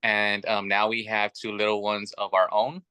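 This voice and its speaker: Man, late thirties, podcast guest; fairly low, bright voice, earnest and chatty, dry close sound. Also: little pitch movement, moderate pace, clear, resonant tone, no echo. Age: 30s. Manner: earnest and chatty, with many fillers. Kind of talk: casual chat.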